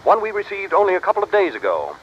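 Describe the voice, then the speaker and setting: An actor playing a brisk, matter-of-fact American insurance company man in a 1950s radio drama. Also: high voice, wildly swooping pitch, slightly rough, exaggerated voice. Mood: brisk, businesslike. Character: businesslike male insurance company manager on the telephone. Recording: dull 1950s radio recording.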